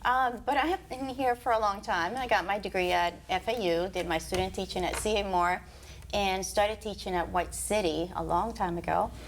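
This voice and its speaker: A woman's voice: mid-range voice, normal speed, slight background noise. Composed woman principal from Puerto Rico. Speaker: school principal. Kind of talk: interview answers.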